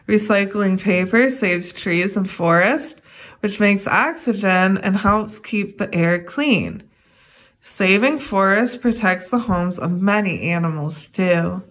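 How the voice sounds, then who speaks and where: Slow-paced young woman reading to children. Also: medium pitch. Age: young adult. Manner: deliberate, clearly addressing young children. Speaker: children's educator reading a book aloud. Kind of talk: read-aloud children's lesson.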